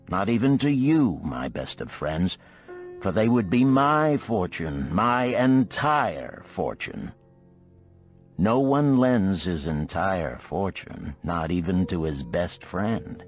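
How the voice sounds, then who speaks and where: A poor, troubled chariot builder, played by a male narrator, talks in archaic storybook phrases. He sounds heavy-hearted and gloomy, with soft music underneath. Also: lowish voice, animated, slow pace, pitched above the performer's own voice. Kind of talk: audiobook character voice.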